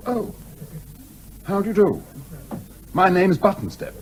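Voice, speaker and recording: Very animated, apologetic young Englishman. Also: sits high, a little slow, soft background music, rough recording. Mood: polite. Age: young adult. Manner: polite, diffident, hesitant. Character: apologetic, dutiful young English son of a major. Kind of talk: radio comedy drama acting.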